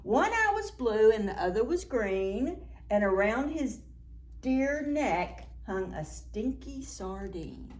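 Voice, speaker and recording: A woman hosts a story time for small children with a very animated, slightly high voice. She takes her time over the picture book, reading it expressively. Now and then she turns to her young listeners with an aside or a question. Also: takes it slowly. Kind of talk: read-aloud picture book storytelling for preschoolers.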